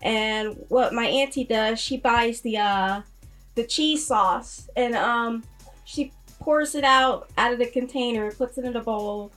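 A woman chatting casually in a halting, meandering way. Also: fairly high pitch, a bit bright, far from the mic, soft background music. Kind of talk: casual chat.

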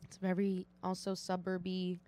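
A woman's voice: fairly low pitch, monotone, normal speed, mellow, slight background noise. A woman from the Boston area hosting a casual podcast chat, laughing a lot and saying "dude" often. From Greater Boston area.

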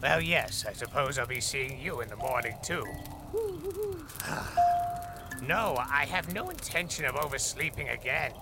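An actor playing a fussy, flustered lore master in a storybook audio drama, muttering theatrically to a pet owl. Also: male voice, a little high, huge pitch swings, measured pace, full character voice. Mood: fussy, grumbling. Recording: music under the voice.

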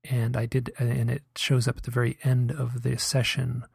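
A man speaking in a hesitant, soft-spoken and earnest way, reflecting on a personal experience in a monologue. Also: medium pitch, monotone, normal speed, vocal fry, breathy edge, no echo, studio quality. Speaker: podcaster recounting a hypnosis session.

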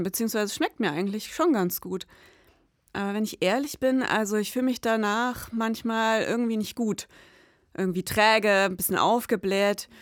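A woman's voice: medium pitch, no echo, clean studio sound. A woman in her forties co-hosting a podcast, mixing scripted narration with casual conversation. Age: 40s. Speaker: podcast co-host.

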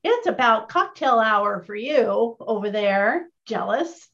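An upbeat woman runs a casual online training session, chatting with her listeners and tossing them questions. Her voice sits a little high. The sound is muffled and lo-fi, like an online call. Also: lively intonation, slow pace, fairly bright tone. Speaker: host of an online training chat. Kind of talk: casual webinar hosting. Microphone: video call.

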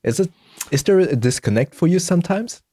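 A man's voice: high-pitched, lively intonation, brisk, mellow, husky. A man raised in Germany, hosting a podcast and asking questions in a thoughtful, hesitant way with many fillers. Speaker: podcast host. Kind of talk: casual conversation.

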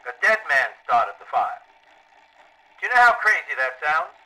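High, piercingly sharp, agitated sheriff. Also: male voice, sing-song, exaggerated voice, low-quality recording. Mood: incredulous. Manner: urgent, rapid-fire questioning. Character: sceptical, agitated sheriff questioning a strange man. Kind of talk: audio drama acting.

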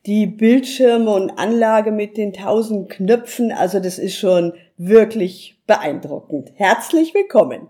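A woman interviewer with a mellow, relaxed tone at middle pitch. She talks conversationally, as in a radio interview, and is enthusiastic and full of compliments toward her guest. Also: unhurried, few pauses. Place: home recording studio indoors.

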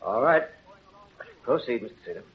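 An actor playing a stern but fair judge in an old radio courtroom drama, clipped and impatient. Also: male voice, medium pitch, expressive, normal speed, natural voice. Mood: brisk, impatient. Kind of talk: 1930s radio acting. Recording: tinny, noisy 1930s radio recording.

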